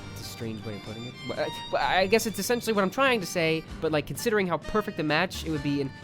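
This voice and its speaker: A man acts out a young space cadet, nervous and lovestruck. He stammers and hesitates, his pitch jumping about widely. Music plays under this anime parody. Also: lively intonation, quick pace, natural voice. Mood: flustered.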